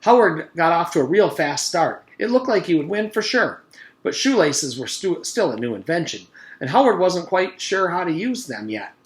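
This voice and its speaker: A man voicing an eager, boastful schoolboy who tells tall tales in an animated, earnest way, as in a storybook reading. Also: fairly high pitch, normal speed, echoey room, pitched above the performer's own voice. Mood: dramatic, triumphant. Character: eager schoolboy telling an excited, gory tall tale.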